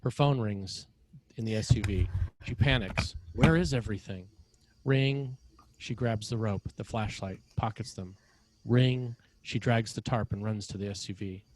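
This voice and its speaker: Male narrator, slightly low pitch, scripted screenplay stage directions. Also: unhurried, darkish tone, poor audio.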